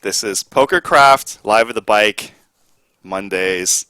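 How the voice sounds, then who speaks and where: Male podcast host, very low voice with a bright edge, dry close sound, playful and teasing. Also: slow pace, smooth, no echo. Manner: jokey, teasing, laughs often. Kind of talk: casual interview. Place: studio at a casino.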